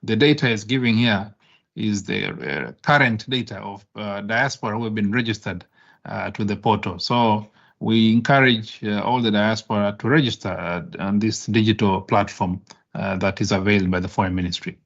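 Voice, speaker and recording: A man's voice: lowish voice, moderate pace, gravelly, lo-fi sound. A man hosting an online embassy meeting, switching between Swahili and English with many fillers. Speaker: embassy host and moderator.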